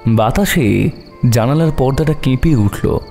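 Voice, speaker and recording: A man narrating a horror story dramatically, with suspense and long pauses. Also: medium pitch, animated, warm tone. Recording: long hall reverb over music.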